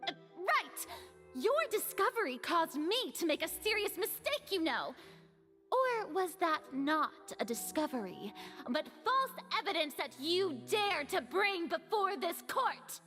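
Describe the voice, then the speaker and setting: A theatrical, haughty young woman from an anime game. She is very high-pitched and animated, blustering dramatically in a reverberant hall. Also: measured pace, soft background music, heavily acted. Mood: indignant.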